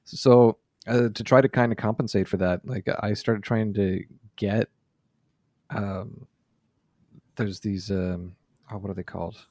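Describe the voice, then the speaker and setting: Hesitant low-voiced man. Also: fairly even intonation, measured pace, long pauses, darkish tone. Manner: hesitant, many fillers and restarts. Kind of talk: casual chat.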